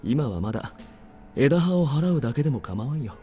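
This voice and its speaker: A man performing a visual novel army superior with a dark, mellow voice and a quick delivery. He is composed, sardonic and quietly menacing, speaking over background music. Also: mid-range voice, sing-song, natural voice. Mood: dry, composed. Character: cool, commanding military superior officer, dryly sardonic. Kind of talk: Japanese visual novel voice acting. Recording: game music under the voice.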